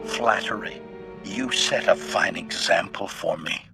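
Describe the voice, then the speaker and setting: Game role: lethal assassin droid, devoted servant. Low, robot-filtered, precise, formal, deadpan menace over music. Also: male voice, a little slow, vocal fry. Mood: loyal, insistent. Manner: formal, clipped, announces each statement with a label. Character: cold, calculating male assassin droid, gleefully bloodthirsty, loyal servant. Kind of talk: scripted video game acting. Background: background music.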